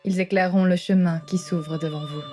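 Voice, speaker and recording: Soft dark-toned mystical woman acted. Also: lowish voice, fairly even intonation, a little fast, soft background music, lightly acted. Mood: solemn, mystical. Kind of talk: anime fan dub acting.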